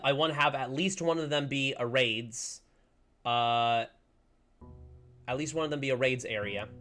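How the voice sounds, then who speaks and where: A man streaming a game in casual, rambling chat, slightly hoarse as he recovers from a lost voice. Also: medium pitch, fairly bright tone. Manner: chatty, upbeat, rambling with many fillers. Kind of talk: casual livestream chat while playing.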